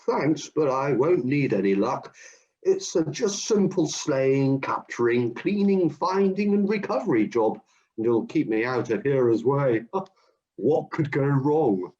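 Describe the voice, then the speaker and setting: A man's voice: medium pitch, animated, measured pace, mellow, close to an everyday voice. A man playing Hercules, a keen, overconfident hero looking for work in a comic radio play. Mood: breezy confident. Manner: cheerfully overconfident, matter-of-fact. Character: Hercules, strong, keen, job-hunting hero. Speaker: job seeker. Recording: dull lo-fi audio.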